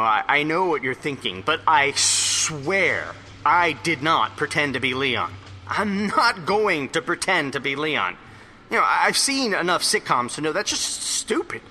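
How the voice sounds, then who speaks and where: A man playing an excitable, hungover rambler in an audio drama monologue, stammering, repeating and correcting himself as he confides. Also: on the high side, a little hiss or hum, heavily acted. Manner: halting, repetitive, self-correcting rambling.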